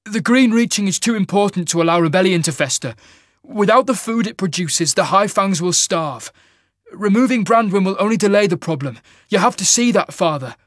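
A man's voice: sits high, normal speed, a bit bright, dry recording, clean studio sound, voice pushed up. A man voicing an earnest young warrior king, an insistent and pleading son in a fantasy audiobook. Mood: desperate pleading. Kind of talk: dramatic fantasy audiobook character.

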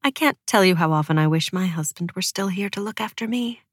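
A woman's voice: deep voice, fairly even intonation, a little fast, a bit bright, no echo, studio quality. A woman voicing a cunning young widow who feigns meek, weary devotion in an audiobook, earnest and careful. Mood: pleading.

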